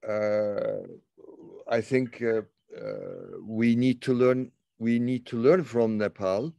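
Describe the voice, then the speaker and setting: A man from Turkey hosting a conversation over a video call, talking slowly with many fillers and restarts. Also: medium pitch, very animated, gravelly, warm tone, smooth, no room sound, clean studio sound. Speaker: interview host. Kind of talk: casual conversation.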